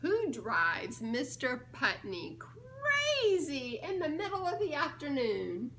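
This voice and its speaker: High-voiced, unhurried woman reading to children. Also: wildly swooping pitch, fairly bright tone, slight background noise. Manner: playful, giggly, coaxing guesses from listeners. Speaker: storybook reader, children's ministry director. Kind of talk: children's story time read-aloud with questions to young listeners.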